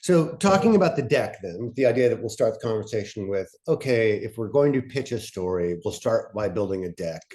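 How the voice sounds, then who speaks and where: A man moderating a casual panel talk in a self-deprecating way, thinking aloud in halting phrases. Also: fairly low pitch, lively intonation, dark tone.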